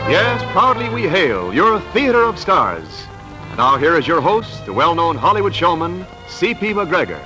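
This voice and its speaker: Male American announcer, 1940s radio, high and sweeping delivery over loud music. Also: very animated, moderate pace, low-quality recording. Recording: vintage 1940s radio recording.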